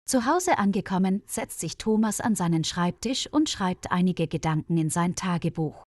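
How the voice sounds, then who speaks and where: A woman narrating a scripted story for language learners in a clear, measured, evenly paced reading voice. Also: lowish voice, expressive, a little mellow, no room sound, studio quality.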